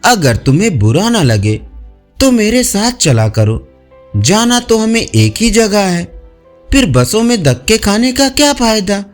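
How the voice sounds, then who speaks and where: A man's voice: sits high, big pitch swings, unhurried, faint music behind. A man voicing a friendly, helpful young male office colleague in an audio story, casual and persuasive. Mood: friendly persuasion.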